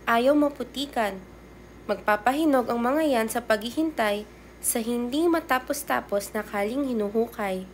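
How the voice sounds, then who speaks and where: A faithful old bicycle that talks, performed by a woman storyteller. It speaks in a high, coaxing, animated voice, nudging and reassuring like a children's story character. The recording has clear background noise. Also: normal speed, natural voice.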